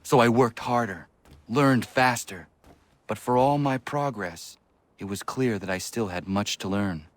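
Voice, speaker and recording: Man acting an earnest, low-voiced young warrior. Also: lightly acted. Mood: solemn, reflective. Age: young adult. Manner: earnest, measured, formal phrasing. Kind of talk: video game voice acting, dialogue and narration.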